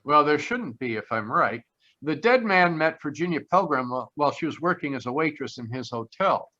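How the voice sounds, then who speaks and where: Man acting a bright, wry radio private eye. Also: fairly high pitch, expressive, normal speed. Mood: assured, deducing. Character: confident male private detective, brisk and wry. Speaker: actor. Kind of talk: old-time radio detective acting. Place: live online video call. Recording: slightly dull video-call audio.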